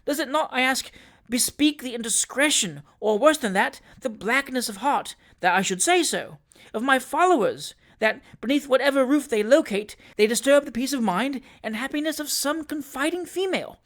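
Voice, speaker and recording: A reader voicing a pompous elderly gentleman who speaks in high, rising oratorical flourishes in an audiobook. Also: lively intonation, normal speed. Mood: indignant. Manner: high-pitched, oratorical, exclamatory. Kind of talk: audiobook character reading.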